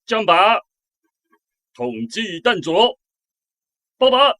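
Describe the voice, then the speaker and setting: An actor playing a stern, foul-mouthed army officer barking drawn-out commands at a firing range in a radio drama. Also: male voice, high voice, animated, very noisy, full character voice. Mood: commanding. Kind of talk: Taiwanese radio drama acting.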